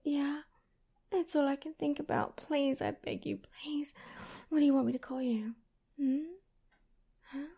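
A young woman speaking an intimate monologue in a whispery, breathy voice, pleading and teasing close to the microphone. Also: sits high, steady tone, unhurried, long pauses, mellow. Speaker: audio performer.

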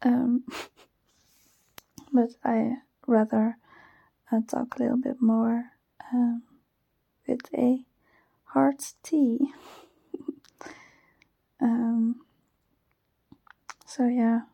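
A woman's voice: a little high, little pitch movement, drawn-out words, vocal fry, long pauses, husky, no room sound, rough recording. Shy giggly Dutch woman whispering. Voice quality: soft whisper. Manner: shy, giggly, nervous, hesitant with many fillers. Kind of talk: whispered personal ramble, ASMR-like. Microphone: close microphone.

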